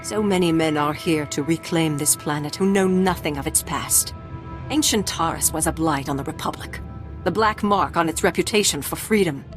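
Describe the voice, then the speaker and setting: A woman's voice: low voice, normal speed, over music, close to an everyday voice. An actress playing a proud, aggrieved refugee leader in a video game, pleading formally and earnestly for her people. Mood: pleading, indignant. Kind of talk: dramatic scripted video game dialogue.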